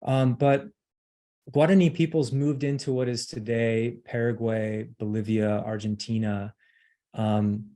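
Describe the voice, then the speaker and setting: A middle-aged man giving an academic lecture, pausing often with ums and uhs as he speaks. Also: mid-range voice, dark tone. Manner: hesitant, many ums and uhs.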